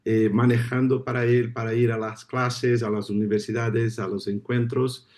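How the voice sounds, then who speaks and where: A Brazilian man whose first language is Portuguese, opening a lecture by video call in friendly, halting Spanish full of repeated words. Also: medium pitch, little pitch movement, a little mellow. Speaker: guest speaker. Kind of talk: informal talk opening a lecture.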